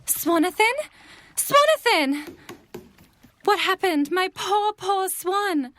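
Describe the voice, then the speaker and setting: High-voiced, caring woman as a fairytale friend. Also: lively intonation, slow, fairly bright tone, slight background noise, heavily acted. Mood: concerned. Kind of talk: audio drama dialogue.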